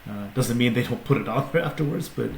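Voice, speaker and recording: A man's voice: medium pitch, fast talker, vocal fry, a little hiss or hum. A man, a company CEO, talking casually as a podcast guest, explaining at length with restarts and fillers. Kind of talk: casual interview talk. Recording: echoey room with faint ambience.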